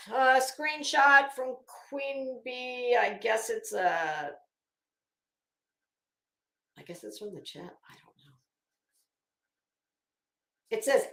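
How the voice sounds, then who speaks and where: A woman's voice: mid-range voice, a bit bright. A woman reading documents aloud dramatically, breaking off into sarcastic, brash, profane commentary as an online host. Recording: echoey room.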